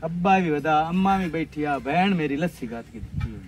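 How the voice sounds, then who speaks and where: A man's voice: on the high side, expressive, a little fast, lightly acted. A young man with a rural Pakistani Punjabi accent, playing a boastful, hard-up village suitor bantering and making excuses in a comic drama. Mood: gossiping. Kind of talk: improvised comic drama dialogue. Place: outdoors by a brick wall and field. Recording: distant camera sound, faint outdoor noise.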